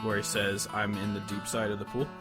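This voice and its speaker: A man's voice: lowish voice, monotone, a little fast. A man in his twenties chatting casually about music as a podcast co-host, rambling and informal, swearing now and then. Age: 20s.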